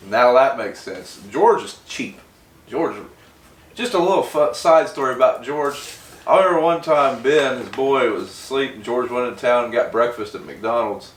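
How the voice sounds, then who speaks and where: A man's voice: medium pitch, normal speed. An American man hosting a casual, folksy interview with a friend, chatting informally. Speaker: interviewer, channel host. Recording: roomy, distant mic with faint noise.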